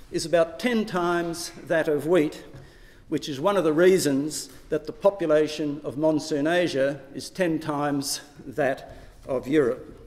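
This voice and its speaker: A man's voice: a little high, a little mellow. An older man giving an academic lecture, projecting his voice to a room without a microphone. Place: lecture hall, indoors. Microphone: far camera, no microphone. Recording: echoey hall from far off.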